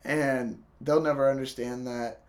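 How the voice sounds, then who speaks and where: A man's voice: medium pitch, fairly even intonation, a little slow. A young man hosting a casual podcast, loose and profane, laughing often, his voice worn from a cough. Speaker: podcast host.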